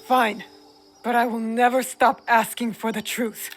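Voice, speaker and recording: A woman's voice: fairly high pitch, flat delivery, a little slow. A woman playing a determined, guarded young female shinobi in a video game cutscene, speaking with earnest intensity. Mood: defiant. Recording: long hall reverb, music behind.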